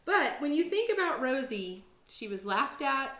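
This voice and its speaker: A woman's voice: high-pitched, big pitch swings, normal speed, bright, forceful tone, reverberant. A woman who teaches second grade, reading and explaining things to children in an encouraging, self-deprecating way. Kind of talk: read-aloud storytelling and casual explaining for children.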